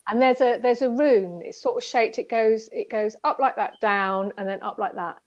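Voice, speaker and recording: Brief: woman, fairly high voice, chatty explainer, dull lo-fi online audio. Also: normal speed, no room sound. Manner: chatty, explanatory, many restarts. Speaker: co-host. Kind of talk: casual spiritual chat.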